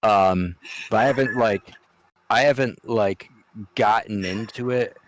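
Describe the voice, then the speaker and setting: A man's voice: fairly low pitch, measured pace, no room sound, rough recording. A man co-hosting a casual podcast chat, hesitant and filling with "like". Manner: hesitant, lots of "like". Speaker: podcast co-host.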